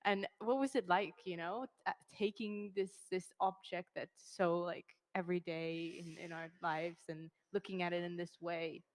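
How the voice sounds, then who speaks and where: A woman's voice: lowish voice, fairly even intonation, moderate pace, few pauses. A young woman interviewing a fellow designer in a casual, upbeat way, full of "like" and "you know". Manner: chatty, filler-heavy, upbeat.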